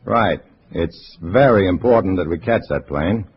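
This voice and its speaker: Hard-boiled American male spy, very low register, clipped storytelling, dull vintage radio sound. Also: expressive, measured pace, a little hiss or hum. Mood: urgent pretence. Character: tense undercover agent posing as a communist comrade. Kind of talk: 1950s radio drama acting and first-person narration. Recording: dull old radio recording with music.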